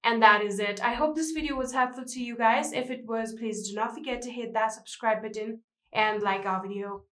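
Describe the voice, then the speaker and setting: Woman, higher-pitched voice, tutorial presenter, step-by-step narration, echoey room sound. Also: fairly even intonation, fairly quick, fairly bright tone. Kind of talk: scripted how-to screen tutorial narration.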